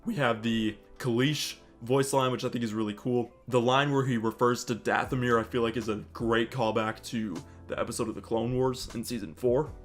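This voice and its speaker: A man's voice: mid-range voice, fairly even intonation, normal speed, hardly any pauses. A man hosting a gaming channel, reading out details of a video game update with casual, matter-of-fact commentary. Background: music with guitar.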